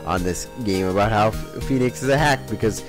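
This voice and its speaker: A young man with a hoarse voice, giving casual commentary on a game and rambling through laughing asides. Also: lowish voice, brisk, music in the background. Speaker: let's play host.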